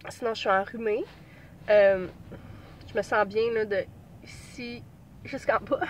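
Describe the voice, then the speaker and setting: A woman's voice: a little high, expressive, slow pace, pauses often, a little hiss or hum. A woman in her thirties with a Québécois accent, chatting casually to camera from her car while she has a cold, rambling with many fillers. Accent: Québécois French. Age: 30s. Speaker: pregnancy vlogger. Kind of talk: casual vlog chat.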